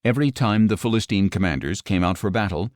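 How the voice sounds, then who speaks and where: A man reading scripture as an audiobook narrator, in a measured, expressive storytelling delivery. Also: on the low side, dry recording, studio quality.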